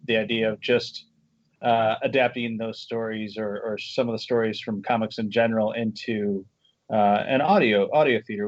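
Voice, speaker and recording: A man being interviewed as a guest screenwriter and director, answering in a relaxed way with frequent ums and restarts. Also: fairly low pitch, no echo, lo-fi sound. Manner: relaxed, many fillers and restarts.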